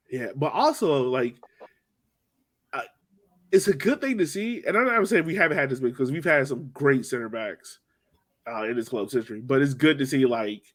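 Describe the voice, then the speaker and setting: A man with an average-pitched voice co-hosts a casual sports podcast. He talks through plays informally, with slang and plenty of "like" scattered through his sentences. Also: expressive, normal speed, fairly bright tone. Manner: informal, slangy, filler-heavy with frequent "like". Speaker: soccer podcast co-host. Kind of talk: casual chat, sports match recap.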